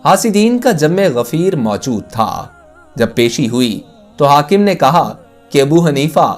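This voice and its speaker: A man's voice: fairly high pitch, sing-song, a little slow. A man narrating a scripted religious moral story in a formal, reverent storytelling manner. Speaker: storyteller and channel host. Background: background music.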